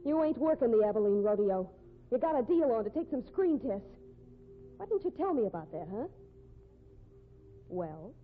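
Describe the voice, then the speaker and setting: An actress playing a tough, jealous, scolding fiancée of a cowboy in a 1950s radio drama, with American accent and blunt folksy slang. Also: female voice, a little high, big pitch swings, moderate pace, long pauses, narrow, tinny audio, soft background music. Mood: accusing. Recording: thin, noisy vintage radio recording.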